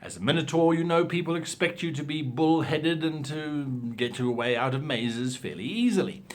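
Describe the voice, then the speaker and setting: A man presenting role-playing advice in an instructional style, with deliberate, emphatic and theatrical phrasing. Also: a little high. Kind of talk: instructional talk with examples.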